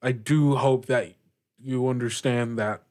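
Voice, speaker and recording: Man voicing a slow, solemn pirate captain woman. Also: medium pitch, steady tone, darkish tone, lightly acted. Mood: guarded, calm. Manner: measured, solemn, formal wording. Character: grave, thoughtful female pirate captain.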